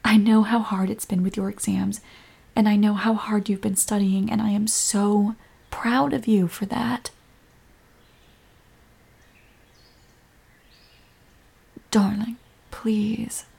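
A woman's voice: medium pitch, level tone, moderate pace, gravelly, husky. A woman acting a hurt, loving girlfriend in a romantic audio roleplay, speaking emotionally and directly to her partner. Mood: tender, soothing. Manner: emotional, swinging from sharp reproach to tender whispers. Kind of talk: scripted romantic audio roleplay, addressing the listener.

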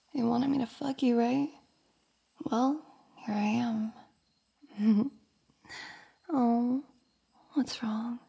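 Woman voicing a husky, gravelly teasing roommate. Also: on the high side, dark tone, heavily acted. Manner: slow, husky, often whispering. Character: teasing, dominant young adult woman roommate. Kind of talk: intimate audio roleplay.

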